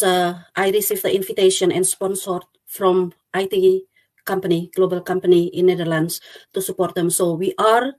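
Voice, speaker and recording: A woman's voice: fairly low pitch, a little mellow. A woman from Indonesia introducing herself on a video call, hesitant with many uhs. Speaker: IT consultant, guest. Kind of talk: casual self-introduction.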